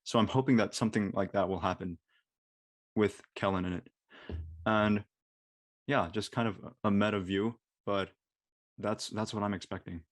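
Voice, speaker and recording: A man's voice: on the low side, steady tone, no echo. A man, an actor on a live show, casually describing his character, doubling back and correcting himself as he goes. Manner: halting, repeats words and self-corrects.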